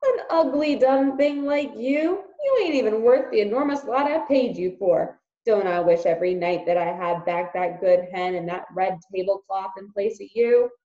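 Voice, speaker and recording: A woman's voice: medium pitch, expressive, normal speed, few pauses, warm tone, close to an everyday voice. A woman voicing a coarse, grumpy old peasant uncle who mocks and scolds, in a storybook read aloud. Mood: mocking. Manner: mocking, gruff, scolding. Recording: dull, roomy recording.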